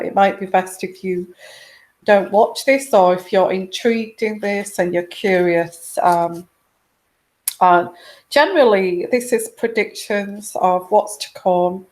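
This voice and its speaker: Slow, hesitant woman with a sore throat. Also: mid-range voice, a little mellow. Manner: hesitant, many ums and restarts. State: sore throat from a virus, quiet voice. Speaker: host of a live tarot reading show. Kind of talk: casual live-stream chat.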